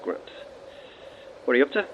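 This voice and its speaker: An actor playing a wry, breezy, teasing Irish boyfriend phoning home in a naturalistic audio drama. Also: male voice, moderate pace, lightly acted. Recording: muffled, noisy call from car.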